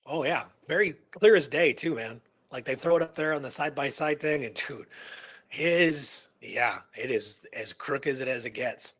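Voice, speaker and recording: A man's voice: medium pitch, moderate pace, a bit bright, breathy edge, no echo. A man hosting a radio show, retelling a historical case in casual, slangy talk with emphatic repeated phrases.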